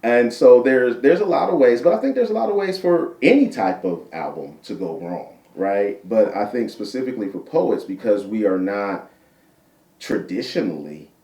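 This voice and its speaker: Deep-voiced guy chatting as a guest, keeps repeating bits and going "right?", lowish voice, echoey room. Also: big pitch swings, moderate pace, warm tone. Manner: repeats himself, tags points with "right?". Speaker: guest poet. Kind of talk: casual interview chat. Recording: roomy sound from a distant mic.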